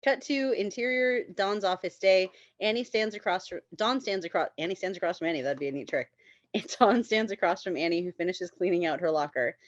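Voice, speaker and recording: A woman's voice: lowish voice, a little fast, dry recording, dull audio. A woman reading screenplay stage directions as narrator at a table read.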